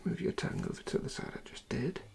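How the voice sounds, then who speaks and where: A person whispering slowly and soothingly in a breathy, creaky voice as a dentist instructing a patient in an ASMR roleplay. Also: noisy background. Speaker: ASMR creator playing a dentist. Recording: lo-fi close-up ASMR recording.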